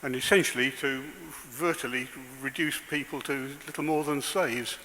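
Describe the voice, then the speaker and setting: A man with a very sharp, bright voice stumbles through a question from the audience, pausing on fillers. The hall adds a long reverb, with faint hiss underneath. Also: medium pitch, fairly even intonation. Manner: hesitant, halting, with many fillers. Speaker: audience member asking a question.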